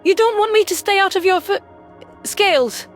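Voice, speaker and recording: Very high-pitched little rodent girl. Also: moderate pace, soft background music. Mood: teasing, fond. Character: small cheeky female alien rodent, playful and affectionate. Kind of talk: audio drama acting.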